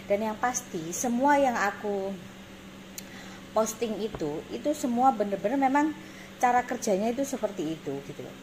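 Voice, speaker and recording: A woman's voice: on the high side, lively intonation, normal speed, a little mellow. East Javanese woman, emphatic, noisy phone recording. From Indonesia. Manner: emphatic, repetitive, blunt explaining. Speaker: beauty salon owner and course teacher. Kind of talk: casual vlog talking to camera. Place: small indoor salon office. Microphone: phone at arm's length.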